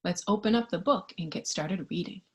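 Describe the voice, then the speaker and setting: A woman reading a picture book aloud to young children in an expressive, child-directed storytime style. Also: lowish voice, brisk. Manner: expressive, child-directed, with questions and sound effects.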